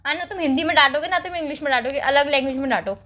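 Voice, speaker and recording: Young woman, very high bright voice, teasing and pleading in casual banter on a recorded phone call. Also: rapid-fire.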